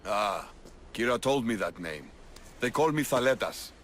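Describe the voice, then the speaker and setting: Proud, solemn Spartan soldier. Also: male voice, lowish voice, lively intonation, normal speed, a bit bright, slight background noise. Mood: proud, grave. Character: proud, stern Spartan soldier, battle-worn officer. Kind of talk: video game cutscene acting.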